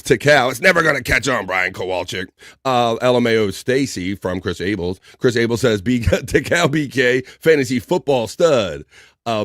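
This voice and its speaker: A man's voice: mid-range voice, dry recording, clean studio sound. A man hosting a live stream, casually reading out viewer comments and joking with the audience. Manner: upbeat, joking, shouts out viewers by name. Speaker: host.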